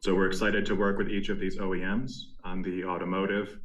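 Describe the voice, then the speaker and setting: A man speaking as a company executive, delivering a prepared earnings presentation in a measured, formal way. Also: deep voice, little pitch movement, a bit bright. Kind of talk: prepared corporate presentation, scripted narration.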